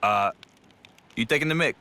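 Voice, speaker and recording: A blunt young English fighter. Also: male voice, medium pitch, expressive, normal speed, pushed and bright. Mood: casual, doubtful. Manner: colloquial, brash, straight-talking. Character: blunt, easygoing, warm-hearted young male fighter. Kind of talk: video game cutscene acting.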